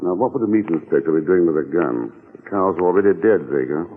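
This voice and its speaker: An actor with an American accent playing a cool, wisecracking, money-minded hero in a 1940s radio adventure. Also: male voice, deep voice, lively intonation, quick pace. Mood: wry. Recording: tinny, band-limited old radio recording.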